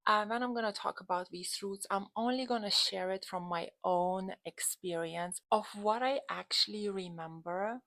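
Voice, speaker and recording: Lithuanian woman chatting to camera like a coach, lots of vocal fry, really dry recording, emphatic. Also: mid-range voice, moderate pace, few pauses, fairly bright tone, dry recording. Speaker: dating and relationship coach, channel host. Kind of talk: casual talk to camera.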